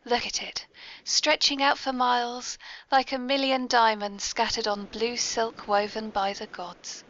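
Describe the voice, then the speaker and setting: A woman's voice: a little high, flat delivery, measured pace, a bit bright. An English woman hosting a whimsical podcast in a playful, polite manner, on a dull amateur recording. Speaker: podcast host.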